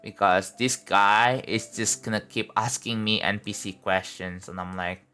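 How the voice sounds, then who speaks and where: Laid-back young man streaming, bright crisp voice. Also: fairly low pitch, steady tone, a little slow, soft background music. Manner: laid-back, rambling, many likes and bros. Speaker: livestreamer building a game level. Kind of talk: casual live stream chat.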